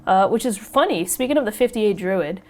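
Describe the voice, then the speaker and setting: A woman with a mid-range voice, slightly raspy from a lingering cold. She hosts a casual podcast chat, talking in a chatty, excitable way and laughing often. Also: mid-range voice, brisk. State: getting over a cold, a little raspy. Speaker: podcast host.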